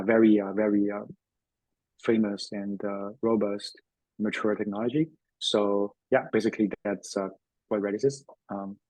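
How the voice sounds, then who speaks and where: A man's voice: fairly low pitch, a little mellow, no echo, rough recording. A man hosting a tech webinar as a developer advocate, speaking casually with lots of fillers and restarts. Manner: hesitant, many fillers and restarts.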